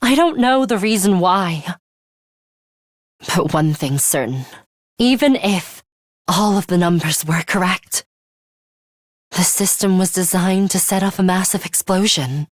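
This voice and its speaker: A woman voicing a cool, guarded young female fighter in a video game, with a measured, composed and imperious way of speaking. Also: lowish voice, lively intonation, no room sound, clean studio sound. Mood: cool, grave. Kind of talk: English video game voice acting, dramatic anime-style dialogue.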